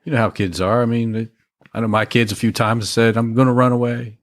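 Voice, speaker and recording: A man's voice: medium pitch, little pitch movement, a little fast. Man, podcast co-host, casual banter, joking. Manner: joking, easygoing replies.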